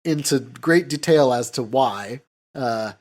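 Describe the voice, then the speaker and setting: Halting, colloquial man hosting a podcast. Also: mid-range voice, a little mellow. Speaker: podcast host. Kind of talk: casual film discussion and recap.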